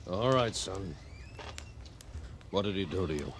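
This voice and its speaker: An actor playing a stern, rigid army major with a gravelly, commanding delivery in a radio western. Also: male voice, low-pitched, animated, measured pace. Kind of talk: 1950s radio western acting. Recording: old radio recording, faint noise.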